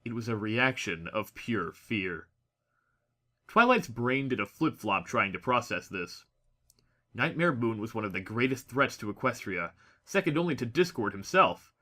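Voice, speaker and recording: A man reading a scripted story as a narrator, with expressive, dramatic delivery. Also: medium pitch, a little slow. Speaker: audiobook narrator.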